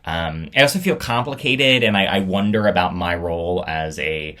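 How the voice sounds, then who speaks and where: A man's voice: sits low, moderate pace, pushed and bright. A man talking casually and reflectively, as the host looking back on his own storytelling show. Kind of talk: casual reflective vlog talk.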